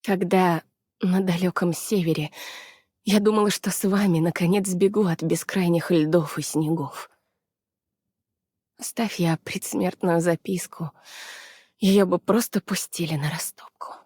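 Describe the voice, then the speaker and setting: Weary young female game scientist, anxious, soft breathy husky voice, slightly low, hesitant and subdued delivery. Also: fairly even intonation, unhurried, no echo, studio quality. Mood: melancholy, weary. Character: weary, anxious, withdrawn young woman scientist from the frozen north. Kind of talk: Russian dub of game character voice lines.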